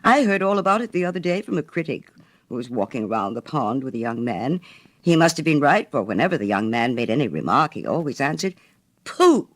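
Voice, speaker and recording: A man's voice: mid-range voice, sing-song, fairly quick, no room sound. A man voicing a self-important, scornful old male water rat in a storybook, with a pompous, dismissive, exclamatory manner. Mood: pompous, opinionated.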